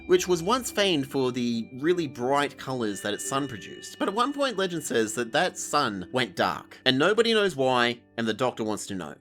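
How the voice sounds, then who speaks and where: A man's voice: mid-range voice, a bit bright. A man giving a casual, scripted review in a wry, conversational way. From Australia. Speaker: reviewer, host.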